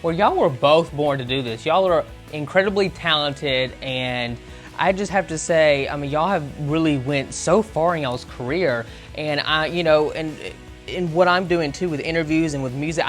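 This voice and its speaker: A man's voice: fairly high pitch, normal speed. A man with a Southern American accent, hosting the interview in a bubbly, chatty way. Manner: bubbly, uses y'all. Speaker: entertainment interviewer. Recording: music under the voice.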